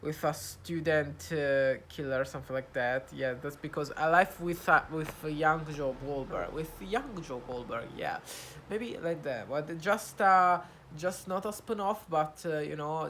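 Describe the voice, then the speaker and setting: A young Italian man talking to camera in English, his second language, with an Italian accent, pausing often with fillers and restarts as he searches for words. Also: on the high side, a bit bright, slight background noise. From Italy. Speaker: vlogger. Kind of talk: casual talk to camera, practising English.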